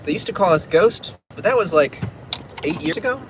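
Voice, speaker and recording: A man's voice: high voice, animated, fairly quick. A man from New England chatting casually on a livestream while driving, narrating his route and reading out fan comments. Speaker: pianist livestreaming to fans. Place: inside a moving car. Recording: loud road and engine noise. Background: loud car and traffic noise.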